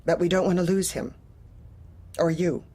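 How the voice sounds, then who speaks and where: Manipulative female boss: low-voiced, officious, falsely polite, dark-comedy audio drama. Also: moderate pace, breathy edge. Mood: coolly threatening. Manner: clipped, officious, controlled.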